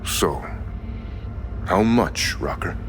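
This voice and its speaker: An actor playing a cool, imposing mercenary in a cyberpunk video game, dry, assertive and businesslike. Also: male voice, low-pitched, expressive, very slow, faint music behind, full character voice. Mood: shrewd, assertive. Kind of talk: video game drama acting.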